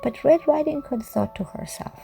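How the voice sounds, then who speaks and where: A woman reading a children's fairy tale expressively as a storyteller, with hushed, breathy phrase endings. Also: sits high, moderate pace. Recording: music under the voice.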